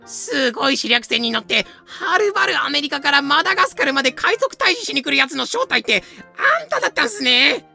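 An excitable young pirate underling voiced by a woman, loud and whiny. The voice is high and very sharp, with gravel in it and big swoops of pitch. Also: brisk, hardly any pauses, faint music behind, full character voice. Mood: frustrated.

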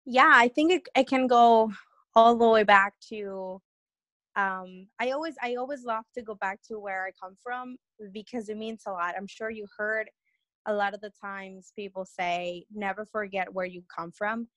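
A woman's voice: medium pitch, moderate pace, a bit bright, no room sound. A young woman from Peru, a guest on an interview podcast, telling her story in a rambling, earnest way with many restarts.

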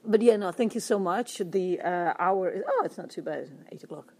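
A woman's voice: fairly low pitch, animated, moderate pace, darkish tone. A young woman hosting a podcast, asking loose, rambling questions and laughing often. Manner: giggly, loose, apologetic questioning. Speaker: podcast host. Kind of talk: casual interview chat.

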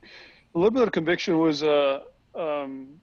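Halting Costa Rican-born young man. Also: a little high, expressive, darkish tone, no echo. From Costa Rica. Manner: halting, many restarts and repeated words. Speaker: tequila company founder. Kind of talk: casual interview answers. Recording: dry, slightly dull sound.

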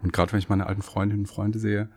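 A man's voice: on the low side, little pitch movement, racing, a little mellow, breathy edge. A middle-aged man from Hesse, a law professor and guest, chatting easily and telling stories with the odd laugh. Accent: standard German with faint Hessian. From Hesse, Germany. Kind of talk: relaxed podcast conversation.

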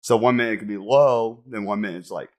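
A young man in casual conversation, excitable and quick to jump in with his opinions. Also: on the low side. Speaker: co-host. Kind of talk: casual chat.

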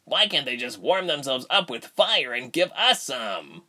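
Casting: young boy, naive and insistent, very bright and swooping voice a man acts it, storybook. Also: mid-range voice, very animated, unhurried, pitched above the performer's own voice, exaggerated voice. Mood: complaining.

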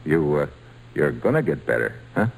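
A gruff, kindly baseball slugger in an old radio play. The voice is low and husky, with lively swings of pitch. He talks plainly and folksily. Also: moderate pace, full character voice, rough recording. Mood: kindly, encouraging. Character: big-hearted baseball slugger, gruff but kind to children. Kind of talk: old-time radio drama acting.

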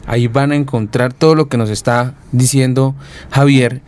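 A man's voice: mid-range voice, steady tone, normal speed, darkish tone. A Colombian man talking casually and conversationally as the host of an interview show. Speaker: radio or podcast host.

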